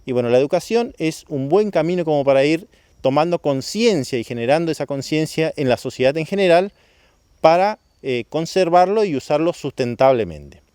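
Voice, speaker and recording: A man's voice: fairly high pitch, expressive, moderate pace, a little mellow, smooth, no echo. A man from Misiones with a northeastern Argentine accent, speaking as a government official in an explanatory, didactic interview style, with small questioning checks. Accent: northeastern Argentine Spanish.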